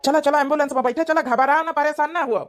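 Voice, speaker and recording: A man's voice: very high-pitched, fairly even intonation, fast talker, music in the background. A man voicing a worried, rambling village husband in a cartoon comedy, with an Awadhi accent. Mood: reassuring, hurried. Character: worried eldest son, a flustered village husband.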